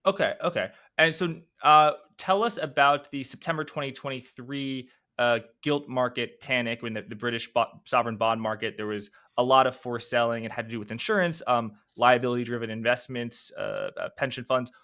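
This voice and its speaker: A man's voice: medium pitch, fairly even intonation, a little fast, few pauses, sharp tone. An American man hosting an interview, asking long, rambling questions with frequent restarts and self-corrections. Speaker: podcast host.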